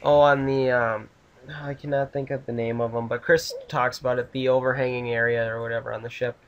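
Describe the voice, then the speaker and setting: A man's voice: medium pitch, little pitch movement, a little fast, muffled recording. A man hosting a casual gaming video, rambling and upbeat as he tours a build with a friend. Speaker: channel host.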